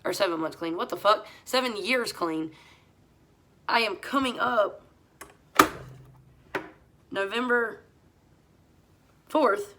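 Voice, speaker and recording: A woman, hoarse from a head cold, telling her recovery story in a blunt, profane, confessional way. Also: mid-range voice, takes it slowly, long pauses, slight background noise. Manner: blunt and profane, talks straight to viewers; talks over her hoarseness, voice pushed a little louder than usual. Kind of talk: casual confessional monologue.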